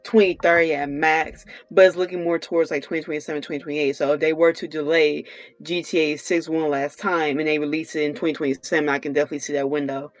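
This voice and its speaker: A woman's voice: low-pitched, little pitch movement, fast, no echo. A woman chatting casually and unscripted as a co-host on a gaming podcast. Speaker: podcast co-host and game streamer.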